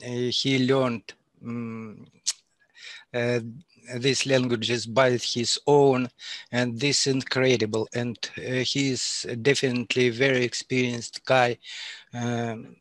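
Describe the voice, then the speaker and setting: Slow, halting male host. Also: medium pitch, flat delivery, a bit bright, dry recording. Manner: halting, searching for words. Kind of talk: casual interview hosting.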